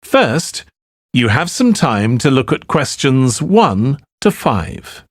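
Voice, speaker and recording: A man reading scripted test instructions as an exam announcer, in a formal and deliberate manner. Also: mid-range voice, very animated, dry recording, clean studio sound.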